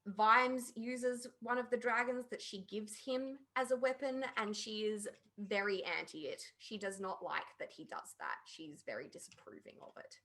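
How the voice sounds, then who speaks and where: Woman on a podcast just chatting, informal, pretty emphatic about her opinions, medium pitched voice. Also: fairly bright tone. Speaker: podcast panelist. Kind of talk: casual opinionated review chat.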